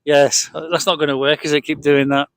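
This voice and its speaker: A man's voice: on the high side, little pitch movement, moderate pace, a bit bright, a little hiss or hum. A British man in middle age or older hosting a casual vlog, chatting off the cuff into a handheld microphone. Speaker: vlog host. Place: outdoors on a boat deck at a marina, breezy evening. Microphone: cheap handheld microphone shared with partner.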